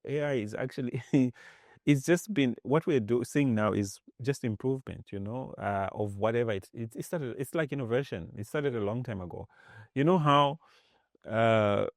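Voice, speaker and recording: A man from Zambia, a tech executive, talking as a podcast guest in an animated way, often restarting and repeating words. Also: mid-range voice, moderate pace, no echo, clean studio sound. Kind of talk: casual interview talk.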